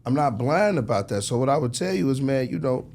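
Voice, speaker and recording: A man's voice: medium pitch, lively intonation, a little fast, gravelly, a little mellow. An American man giving casual, emphatic, preachy advice as an interview guest, often checking the listener follows with tag questions. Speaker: music industry executive, interview guest.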